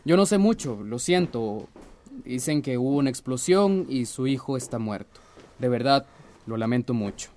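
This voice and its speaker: A man's voice: mid-range voice, expressive, normal speed, darkish tone, lightly acted. A man playing a polite, apologetic young police officer with a Central American accent, speaking formally and hesitantly in a radio drama. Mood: apologetic, firm. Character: polite, apologetic local police officer bearing bad news.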